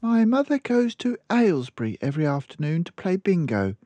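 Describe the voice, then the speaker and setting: A man's voice: high-pitched, big pitch swings, a little fast, dark tone, dry recording, pitched above the performer's own voice. A man voicing an earnest, polite, bookish little girl from a storybook in a child-like manner. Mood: plain, resigned. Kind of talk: storybook character voice.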